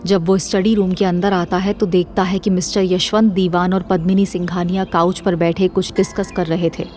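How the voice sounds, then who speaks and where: A woman's voice: fairly low pitch, flat delivery, normal speed, darkish tone, faint music behind. A woman narrating a scripted dramatic story as the narrator of an audio series.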